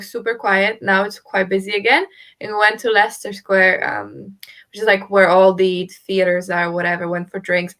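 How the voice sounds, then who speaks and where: A woman's voice: mid-range voice, fairly bright tone. A woman chatting casually and informally as co-host of a live stream. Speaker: co-host of a book readalong stream. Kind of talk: casual chat.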